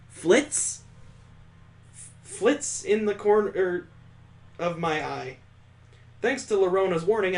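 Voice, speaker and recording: Male let's-play host, lightish higher pitch, dramatic story reading with incredulous joking asides. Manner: dramatic narration broken by amused, incredulous commentary. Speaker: gaming channel host doing a let's play. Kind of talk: reading visual novel text aloud with joking asides.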